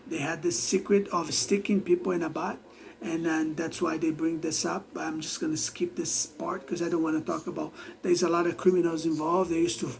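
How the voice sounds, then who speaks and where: A man with a Brazilian accent and a voice set high for a man talks straight to his viewers. He rambles and halts, stumbling over restarts as he circles back to his points in a loose, casual monologue. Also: creaky. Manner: rambling, halting, many restarts. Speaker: vlogger.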